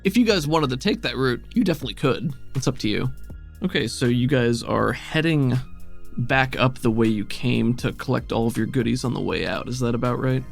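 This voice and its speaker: A man's voice: medium pitch, brisk, creaky, soft background music. A man acting as game master, narrating and describing scenes for a tabletop game.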